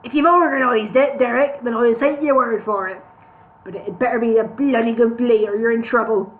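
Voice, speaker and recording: A woman voicing a loud, bullying male brewery boss with a gravelly, bellowing voice, on a tinny phone-like recording. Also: a little high, fairly even intonation, moderate pace, lightly acted. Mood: suspicious. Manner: bossy, shouting demands.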